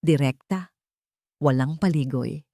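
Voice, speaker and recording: Low-pitched Filipino woman narrating a story. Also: slow, mellow, no echo, clean studio sound. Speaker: audiobook narrator. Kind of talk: scripted dramatic first-person story narration.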